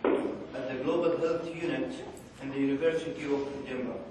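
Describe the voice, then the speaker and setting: A man chairing a session, introducing the next speaker in a measured, formal way. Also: mid-range voice, steady tone. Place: large conference hall. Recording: echoey hall, distant mic, background noise.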